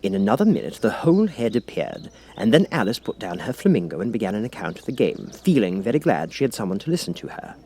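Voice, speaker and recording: A man's voice: fairly low pitch, very animated, quick pace, few pauses, dark tone. A man reading a children's story as a scripted audiobook narration.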